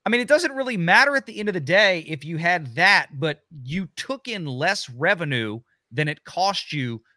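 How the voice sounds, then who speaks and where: A man's voice: sits high, expressive, moderate pace, sharp tone, dry recording, studio quality. A man hosting a casual live panel discussion, chiming in and moving the conversation along. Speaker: panel host.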